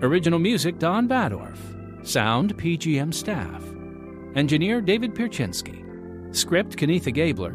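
A man hosting an evangelistic radio program, reading a script in an earnest, deliberate, clearly enunciated announcer style. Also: a little high, big pitch swings, over music.

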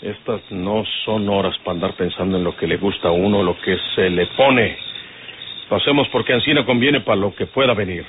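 Man acting a gruff northern Mexican outlaw chief. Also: on the low side, normal speed, natural voice. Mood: stern, fatherly. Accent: rural northern Mexican. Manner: folksy, commanding, paternal. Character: gruff, domineering older male outlaw chief, rural Mexican. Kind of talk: old Mexican radio drama acting. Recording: noisy, tinny vintage radio recording.

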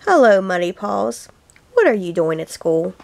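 A woman's voice: mid-range voice, big pitch swings, normal speed, vocal fry, a little hiss or hum, pitched below the performer's own voice. A woman voicing an affectionate young boy who owns a puppy in a storybook, friendly and childlike. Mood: fond.

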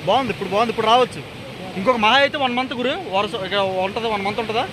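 Young male travel vlogger. High register, rapid excitable delivery, casual and slangy, recorded outdoors with light ambient noise. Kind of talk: casual vlog chat to camera. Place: outdoors on forest trail and by waterfalls.

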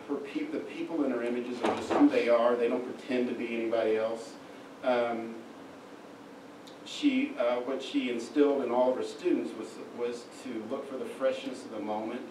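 Oklahoma man giving a halting slide talk, mic far off in a roomy hall. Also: mid-range voice. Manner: halting, many fillers and restarts. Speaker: lecturer. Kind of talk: slide lecture. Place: darkened lecture hall. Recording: echoey room from far off, noisy.

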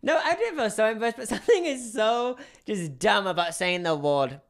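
Animated young man reviewing food. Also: high voice, normal speed, vocal fry. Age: young adult. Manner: playful, animated, jokey. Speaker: host. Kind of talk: casual food review chat. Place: small room, seated at a table. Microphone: handheld mic.

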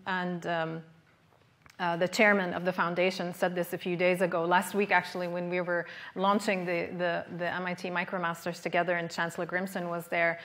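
A woman in her forties answering audience questions in a large lecture hall, measured and earnest, pausing with fillers, on a lapel mic. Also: lowish voice. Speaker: keynote speaker. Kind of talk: conference Q&A answers.

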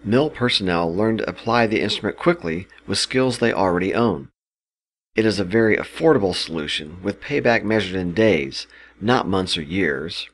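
A man reading a scripted instructional product narration in a measured, explanatory manner. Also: low voice, expressive.

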